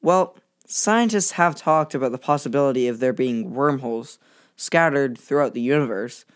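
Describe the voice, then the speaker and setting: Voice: medium pitch, moderate pace, close to an everyday voice. An actor playing a bookish, precise boy in a children's audio drama, speaking in a pedantic, matter-of-fact way. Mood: theorizing.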